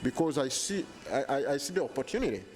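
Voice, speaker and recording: A man in his thirties with a Ugandan accent, answering interview questions in an earnest, measured way, held mic in a hotel lounge. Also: medium pitch, expressive, a little hiss or hum. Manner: earnest, measured, frequent restarts. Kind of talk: casual interview. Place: bright hotel lounge indoors. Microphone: handheld lapel mic.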